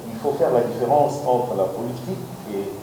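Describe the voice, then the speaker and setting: Man, platform leader, deliberate speech, hesitations, echoey room, noisy background. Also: mid-range voice. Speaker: platform president, guest. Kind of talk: interview answers. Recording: echoey room, distant mic, background noise.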